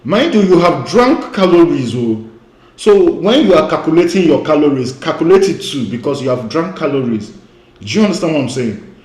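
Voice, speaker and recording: A man's voice: a little high, very animated, normal speed, darkish tone, echoey room. A man who grew up speaking Igbo, giving an emphatic online lecture as a weight-loss coach. He repeats his key points and often says "you know".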